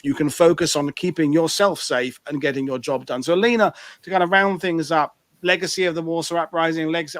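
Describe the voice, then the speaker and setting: A British man hosts a relaxed online panel over a video call. His voice sits a little high and sounds dry and close. He talks in a chatty, rambling way and restarts his sentences a lot. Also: no room sound. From Britain. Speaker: host. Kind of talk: casual panel discussion.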